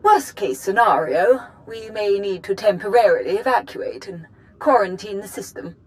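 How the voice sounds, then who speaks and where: A woman's voice: medium pitch, expressive, moderate pace, natural voice. An actress playing a blithe, matter-of-fact woman research doctor who explains things precisely in a video game. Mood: clinical.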